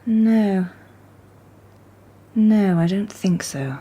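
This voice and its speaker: An actress playing a sharp lady detective who speaks in a crisp, composed way. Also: medium pitch, expressive, drawn-out words, full character voice. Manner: crisp, composed, clipped when dictating notes. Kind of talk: radio drama acting.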